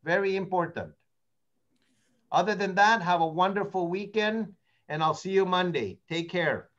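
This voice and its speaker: A man's voice: high-pitched, moderate pace, a bit bright, lo-fi sound. An older man, not a native English speaker, running a real estate training meeting in an opinionated, rambling way, repeating words as he goes. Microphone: meeting recording.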